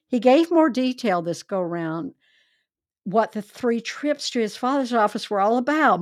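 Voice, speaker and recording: A woman hosting a true crime podcast, telling the case in a chatty, animated, wry way. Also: mid-range voice, no room sound, studio quality. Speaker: podcast host.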